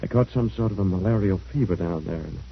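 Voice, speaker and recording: An actor playing a worn, luckless middle-aged brother, wry and self-pitying, in an old-time radio drama. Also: male voice, deep voice, fast, gravelly, a little hiss or hum. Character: worn, luckless ne'er-do-well brother, wryly ingratiating. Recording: muffled old radio recording.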